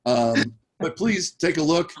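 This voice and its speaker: An actor with dry, self-mocking humor, talking casually on a video call. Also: male voice, fairly high pitch, lively intonation, unhurried, breathy edge.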